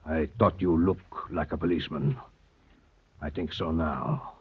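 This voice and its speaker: An actor playing a grim, aged anarchist professor, grave and deliberate, with a husky voice, in 1930s radio drama style. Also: male voice, rumbling low, steady tone, heavily acted. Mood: menacing. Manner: grave, deliberate, portentous questioning. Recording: dull, muffled old 1930s radio recording.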